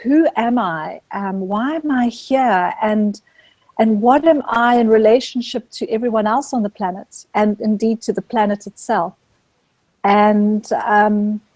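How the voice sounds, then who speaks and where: Gentle, reflective woman. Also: on the high side, dry recording, low-quality recording. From Zambia. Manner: gentle, searching, with soft restarts. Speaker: guest. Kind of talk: reflective spiritual interview talk.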